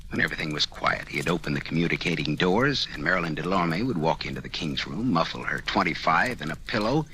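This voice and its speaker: An actor playing a sardonic, slangy, tough private detective in an old-time radio drama. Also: male voice, very deep voice, expressive, a little fast, bright, forceful tone, full character voice. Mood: accusing, sardonic. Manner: sardonic, slangy, hard-boiled delivery. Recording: noisy vintage radio recording.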